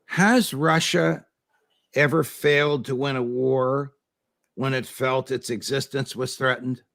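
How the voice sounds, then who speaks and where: An American man with a gravelly voice hosting a political interview, deliberate and emphatic, building short statements into incredulous questions. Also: medium pitch, steady tone, fairly bright tone. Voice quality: gravelly, creaky voice. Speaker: talk show host interviewing a guest.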